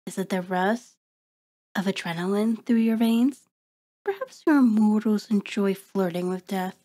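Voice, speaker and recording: A woman's voice: medium pitch, warm tone, close to an everyday voice. A woman playing a cold, taunting vampire in an intimate ASMR horror roleplay, speaking with mocking, formal deliberation. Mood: smug, teasing. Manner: slow, deliberate, mocking, haughty formal diction. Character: cold, taunting, predatory female vampire toying with prey.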